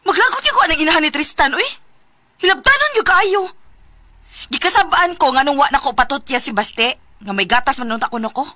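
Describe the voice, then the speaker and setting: A woman acting a quarrelsome, discontented young mother in a Filipino radio drama, with a Cebuano accent and a sharp, complaining manner. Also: sits high, lively intonation, a little fast, dry recording. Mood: irritated, spiteful.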